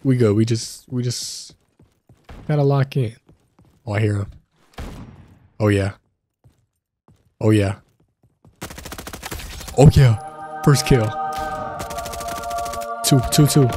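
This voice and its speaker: A man with a stuffy nose from a cold, hosting casual gameplay commentary, chatty and prone to excited outbursts. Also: medium pitch, slow, long pauses, faint music behind. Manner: chatty, addresses viewers as fellas, excited outbursts. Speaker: gaming channel host. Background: faint game gunfire.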